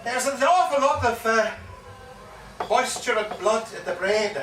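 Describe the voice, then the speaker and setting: An actor playing an excitable Irish pub yarn-spinner, theatrically repeating himself with relish in a live comic stage monologue. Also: male voice, extremely high pitch, a little slow, faint music behind, exaggerated voice. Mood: rambling, confiding. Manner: theatrical, repeats phrases with relish and dramatic emphasis. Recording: echoey live pub recording, distant mic, faint noise.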